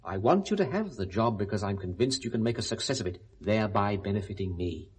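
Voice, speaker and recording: A brisk, urbane British businessman in middle age in a seventies radio play. His slightly husky voice ranges widely in pitch as he makes his case persuasively. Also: expressive. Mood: persuasive, pressing. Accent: British English. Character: brisk persuasive businessman, male, family man, paternal. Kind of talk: 1970s British radio drama acting.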